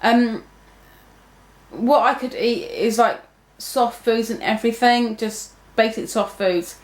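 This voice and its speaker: A woman's voice: on the high side, takes it slowly. A young woman talking casually to her viewers, rambling with many fillers and restarts. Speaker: vlogger. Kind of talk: casual talk to camera. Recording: echoey room.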